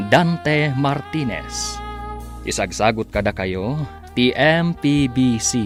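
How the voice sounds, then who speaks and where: Ilocano guy announcing a radio drama, scripted dramatic narration, loud music behind. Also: mid-range voice.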